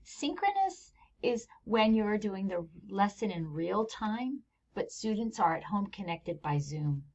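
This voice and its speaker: A woman with an American accent presents scripted instructional narration as a teacher trainer, explaining things plainly with informal touches. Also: medium pitch. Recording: dull lo-fi voice-over recording.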